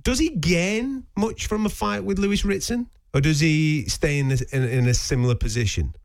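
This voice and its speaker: A man hosting a sports radio show, talking in an energetic, friendly, chummy way. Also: on the high side, moderate pace, gravelly. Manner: energetic, friendly, uses mate.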